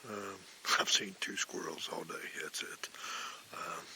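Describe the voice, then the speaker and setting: A man, filming a hunting vlog on his phone outdoors, telling his story in an excited ramble and switching to a hushed whisper up in the tree stand. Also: low voice, takes it slowly, poor audio. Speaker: bowhunting vlogger. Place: outdoors in woods.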